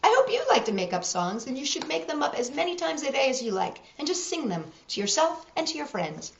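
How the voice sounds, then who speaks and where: A woman's voice: high-pitched, sing-song, a little fast, gravelly, reverberant, slight background noise. A woman hosting a children's storytime, reading aloud and chatting directly to young listeners.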